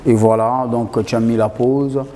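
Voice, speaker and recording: A man's voice: mid-range voice, steady tone, unhurried, a crowd in the background. A man hosting a television talk show, interviewing in a conversational way. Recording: reverberant hall sound.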